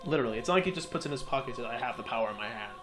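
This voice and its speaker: A man giving casual, joking video game commentary, animated and a little profane. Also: mid-range voice, very fast, over loud music. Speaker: let's player and commentator.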